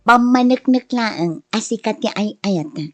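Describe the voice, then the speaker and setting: A woman's voice: a little high, animated, a little slow. A woman playing a sly, scheming character on the run in a radio drama, with an Ilocano accent. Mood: gloating. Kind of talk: Filipino podcast radio drama acting. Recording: loud music and vehicle sounds behind. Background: loud music, car engine.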